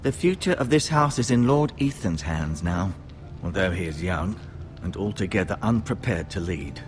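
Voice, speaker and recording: An actor playing a grave older castle healer in a fantasy game, speaking in a measured, formal, musing manner with dry gravity. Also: male voice, low-pitched, expressive, faint music behind. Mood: mournful, musing. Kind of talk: dramatic video game acting.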